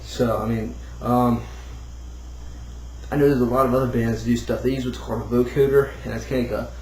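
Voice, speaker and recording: A man giving a casual, unscripted hobbyist demo, rambling and thinking out loud as he goes. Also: medium pitch, steady tone, fairly quick. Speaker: hobbyist demonstrating a homemade build. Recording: roomy echo, clear background noise.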